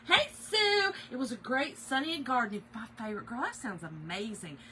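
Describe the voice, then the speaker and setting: A middle-aged woman, a little hoarse, hosting a casual craft livestream and greeting viewers by name. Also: on the high side, expressive, a little fast, heavy background noise. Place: home office.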